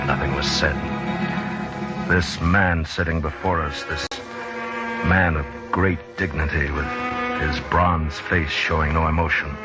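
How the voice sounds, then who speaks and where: Weary, understated frontier lawman, low and measured, vintage radio drama on a dull recording. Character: steady, weary frontier lawman narrating his western tale. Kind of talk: 1950s radio drama acting.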